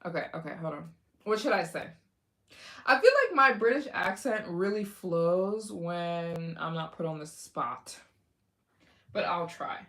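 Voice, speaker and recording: A woman's voice: fairly low pitch, measured pace, fairly bright tone. A woman hosting a casual livestream, chatting with viewers, thanking donors and giving motivational talk about taking risks. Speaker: livestream host. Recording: echoey room.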